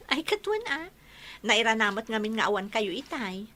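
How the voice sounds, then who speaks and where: An actress playing a bashful, mildly protesting young working daughter in a radio drama. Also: female voice, on the high side, big pitch swings, moderate pace, pushed and bright. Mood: defensive. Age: young adult.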